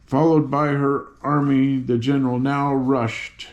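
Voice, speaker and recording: A man's voice: mid-range voice, little pitch movement, a little slow, dull audio. Older man reading a storybook aloud. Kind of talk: read-aloud children's story narration.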